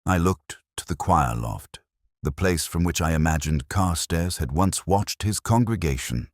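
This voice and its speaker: A man playing a world-weary, cerebral police detective, narrating a radio drama in the first person in a measured, melancholic, dryly ironic manner. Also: sits low, expressive, no echo, clean studio sound. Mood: melancholic, reflective.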